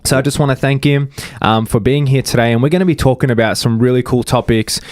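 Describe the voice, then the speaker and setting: A man hosting a casual podcast interview, friendly and chatty, often pausing on fillers. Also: medium pitch, no room sound, clean studio sound. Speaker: podcast host.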